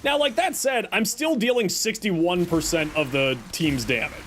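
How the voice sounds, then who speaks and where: A man's voice: sits high, moderate pace, fairly bright tone, a little hiss or hum. A man streaming a game and chatting with viewers, self-deprecating and rambling, who is losing his voice. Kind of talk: casual live-stream chat answering viewers.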